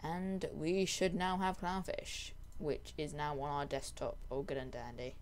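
A young adult presenter giving a casual software tutorial in a voice-over. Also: mid-range voice, steady tone, unhurried, a little hiss or hum.

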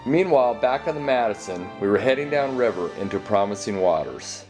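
A man giving calm, scripted narration for a fishing show. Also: on the low side, fairly quick, music in the background.

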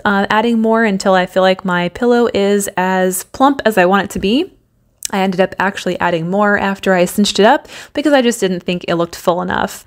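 A woman narrates a do-it-yourself decor tutorial in voice-over, walking through each step in a practical, easygoing way. She fills pauses with ums and uhs as she explains, at an ordinary middle pitch. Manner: practical, step-by-step, with frequent fillers. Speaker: DIY home decor vlogger.

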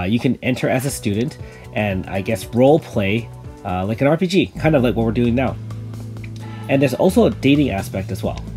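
A man of ordinary pitch talks through a game video with loose, easygoing commentary. His voice is slightly raspy from being ill, and he fills his pauses with ums and uhs. Faint music plays underneath. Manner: relaxed, many fillers. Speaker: gaming YouTuber.

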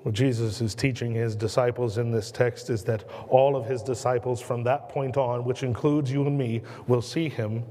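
American pastor preaching in a reverberant church. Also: male voice, fairly even intonation, mellow. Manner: earnest preaching, slows and drops low on key words, gestures a lot. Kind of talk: sermon. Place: church sanctuary at a pulpit.

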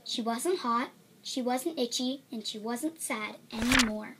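A woman reading a children's storybook aloud in an expressive way, acting out the emphatic words. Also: a little high, measured pace, heavy background noise. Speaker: storybook reader.

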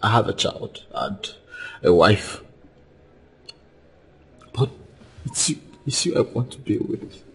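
Husky, low, pleading lover. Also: male voice, expressive, full character voice. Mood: pleading, contrite. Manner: halting, pleading. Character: pleading, guilty adulterous lover. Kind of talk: film drama acting. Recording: reverberant room, faint music.

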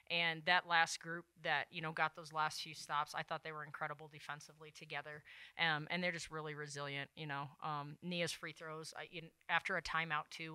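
Bright, crisp-voiced woman coach. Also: sits low, dry recording. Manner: earnest, reflective, frequent "you know". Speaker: head coach. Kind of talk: postgame press conference. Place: press room at table before backdrop. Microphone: table mic on stand.

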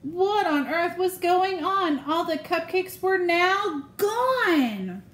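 A woman in her thirties reading a children's picture book aloud with expressive, deliberate storytelling, recorded in a room at home. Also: very high voice, echoey room. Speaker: elementary school staff member reading aloud. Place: small room at home, indoors.

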